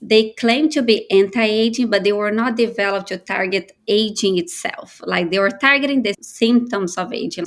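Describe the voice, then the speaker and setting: This is a woman from Brazil, speaking with a Brazilian accent at a middle pitch. Her thirties show in a steady, measured voice as she explains things earnestly and at length as an interview guest. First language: Portuguese. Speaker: guest, biotech founder. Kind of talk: interview answers.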